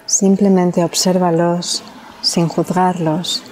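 A woman from leads a guided meditation in a calm, soothing way, leaving long pauses between phrases. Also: on the low side, a little hiss or hum, poor audio.

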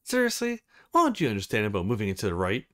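A man's voice: on the low side, wildly swooping pitch, fast talker, sharp tone, no room sound, studio quality, exaggerated voice. A man voicing an exasperated, demanding male fashion photographer in a visual novel game.

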